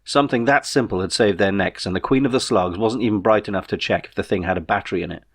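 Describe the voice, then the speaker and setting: A man reading his own novel as a scripted audiobook, in a measured storytelling manner. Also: fairly low pitch, slightly rough.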